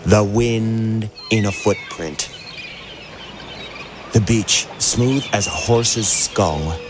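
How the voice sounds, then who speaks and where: Slow low-voiced man reading poems. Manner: measured, fragmentary, trailing into whispers. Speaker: poetry reader. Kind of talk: slow poetry reading over a soundscape. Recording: voice over loud music bed.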